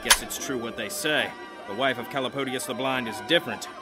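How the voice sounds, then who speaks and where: An actor playing a deferential but blunt soldier gunner in a full-cast audio drama. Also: male voice, medium pitch, brisk, lightly acted. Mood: urgent, combative. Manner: respectful to a noblewoman, blunt under fire. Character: gruff, deferential soldier gunner named Stavros, battle-ready. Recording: loud dramatic music underneath.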